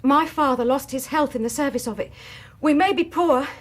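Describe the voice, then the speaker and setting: A woman's voice: sits high, normal speed, close to an everyday voice. A woman acting in a British radio drama as a harried, defensive, devoted daughter of a poor family, anxious and urgent.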